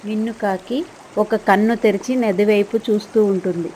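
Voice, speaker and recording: A woman's voice: mid-range voice, fairly even intonation, moderate pace, mellow, a little hiss or hum. A woman narrator reading a scripted children's story.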